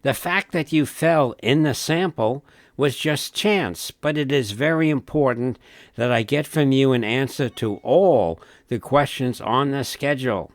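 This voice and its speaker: A man's voice: medium pitch, unhurried, no echo, studio quality, voice pushed up, close to an everyday voice. A man playing a courteous, patient census enumerator, explaining formally in a scripted training-film role play. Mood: polite, earnest.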